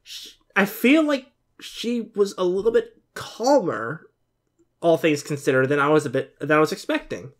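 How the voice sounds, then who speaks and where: A man's voice: a little high, expressive, normal speed. A man in his twenties giving casual, rambling, informal off-the-cuff commentary as a reaction video host. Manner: rambling, informal, mild swearing. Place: bedroom, indoors.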